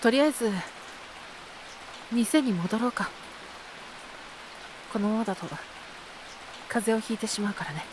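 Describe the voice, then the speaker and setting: A woman voicing a calm, caring grown man, a shop owner, with gentle, measured speech in a Japanese audio drama. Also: noisy background, lightly acted. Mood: gentle concern. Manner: gentle, measured, low-key.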